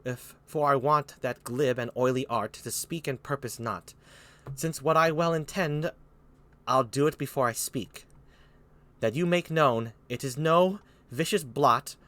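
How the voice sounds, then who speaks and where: A man's voice: medium pitch, sharp tone. A man playing an earnest, honest princess who pleads with dignity in a stage tragedy.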